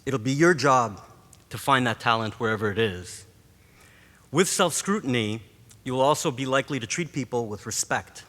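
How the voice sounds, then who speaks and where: A man from Montreal whose first language is Greek, giving a formal graduation address as a professor in a measured, rhetorical way. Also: medium pitch, a bit bright. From Montreal, Canada. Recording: long reverb of a large hall.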